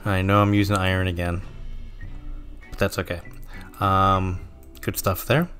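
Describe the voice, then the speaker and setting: Low-voiced man narrating a game, slightly sore throat. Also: unhurried, faint music behind. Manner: relaxed, thinking aloud with frequent ums and self-corrections. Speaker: gameplay commentator. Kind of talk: casual let's-play commentary.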